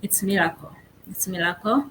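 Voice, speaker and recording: A young woman with a Rwandan accent, a guest on a casual interview, pausing often and searching for her words. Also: medium pitch, fairly bright tone, a little hiss or hum, poor audio. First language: Kinyarwanda. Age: young adult. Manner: halting, searching for words with many fillers.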